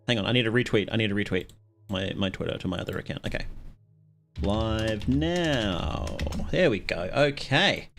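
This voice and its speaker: A man hosting a livestream, chatting casually with viewers in a rambling, halting way and often interrupting himself. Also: lowish voice, expressive, creaky, a bit bright. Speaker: livestream host.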